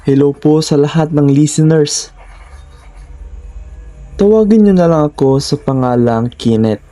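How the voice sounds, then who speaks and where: A man's voice: fairly high pitch, expressive, a little slow, dark tone, soft background music, poor audio. A man with a Filipino accent giving a scripted first-person narration of horror stories.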